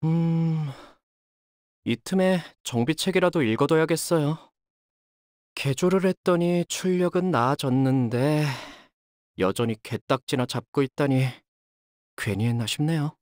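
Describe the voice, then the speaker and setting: A man's voice: on the high side, measured pace, darkish tone, dry recording, studio quality, natural voice. A male actor playing an earnest, modest young boat repairman in a video game, with a boyish, polite and slightly hesitant manner. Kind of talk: video game character voice lines.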